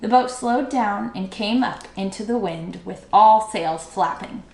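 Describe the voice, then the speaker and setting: A woman reading a children's book aloud expressively, with dramatic, drawn-out emphasis. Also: mid-range voice, gravelly. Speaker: storybook read-aloud teacher. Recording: echoey room.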